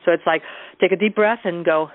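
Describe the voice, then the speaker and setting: A woman's voice: on the low side, steady tone, brisk, no room sound. A woman casually leading a group through a guided sound exercise on a teleconference, instructing informally and often checking in with her listeners. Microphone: telephone. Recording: muffled, narrow teleconference phone line.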